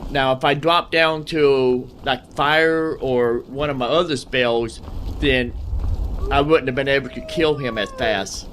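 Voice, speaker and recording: A man's voice: mid-range voice, steady tone, a little slow, fairly bright tone, a little hiss or hum. A man giving casual gameplay commentary in a folksy, rambling way, explaining things and talking to himself. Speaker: gaming host.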